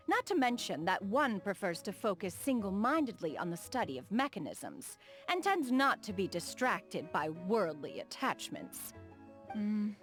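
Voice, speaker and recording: An actress voicing a refined, dignified immortal woman and proud inventor in a video game, speaking in a formal, stately manner. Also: medium pitch. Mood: reflective, composed. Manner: formal, stately, refers to herself as "one". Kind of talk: video game character dialogue.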